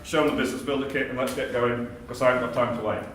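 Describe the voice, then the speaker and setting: A man's voice: mid-range voice, fairly even intonation, rapid-fire, fairly bright tone, slight background noise. Man, sales trainer, coaching tone, very echoey hall, distant mic. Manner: coaching, acts out both sides of calls. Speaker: workshop speaker. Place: large echoey event hall.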